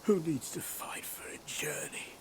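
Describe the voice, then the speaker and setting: An actor playing an easygoing, wistful drunken deity in a fantasy game, with languid, theatrical delivery. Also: male voice, a little slow, in a whisper, lightly acted. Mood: wistful, merry. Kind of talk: fantasy video game acting.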